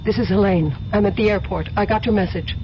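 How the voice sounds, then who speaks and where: A woman's voice: medium pitch, quick pace, noisy background, close to an everyday voice. A woman playing a guilty, anxious smuggler in love in a radio mystery, speaking in hesitant phrases. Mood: nervous. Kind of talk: radio mystery drama acting. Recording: dull old radio recording, music behind.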